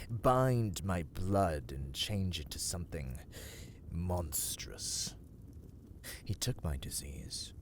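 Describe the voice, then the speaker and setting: A man playing a brooding, world-weary vampire in a slow, dark ASMR roleplay, theatrical and often slipping into breathy whispers. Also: deep voice, a little hiss or hum. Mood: bitter, haunted. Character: brooding, world-weary male vampire, cursed castle master.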